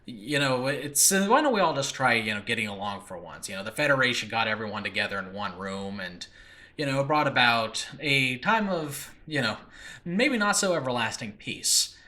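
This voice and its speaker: Bright-voiced American man podcasting casually. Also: medium pitch, animated, moderate pace. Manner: rambling, informal, frequent "you know" asides. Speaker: podcast host and audio drama creator. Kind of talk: casual solo podcast talk. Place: room in a new apartment, makeshift recording space.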